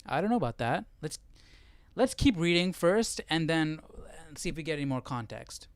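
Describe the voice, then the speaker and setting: A man's voice: on the high side, very animated, moderate pace. A man hosting a live Bible study, reading aloud and thinking through it in casual talk.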